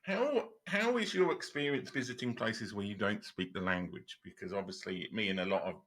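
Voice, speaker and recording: A man's voice: lowish voice, expressive, normal speed, a bit bright. A man from Europe hosting a casual interview, asking long rambling questions with many restarts. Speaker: host.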